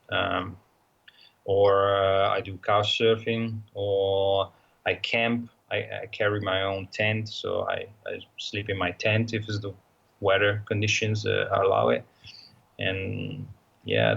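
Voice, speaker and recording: A man's voice: on the low side, fairly even intonation, slow pace, gravelly, a bit bright, muffled recording. A man with an Italian accent, talking casually as an interview guest, hesitant and full of fillers and restarts. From Italy. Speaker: guest, motorcycle traveler.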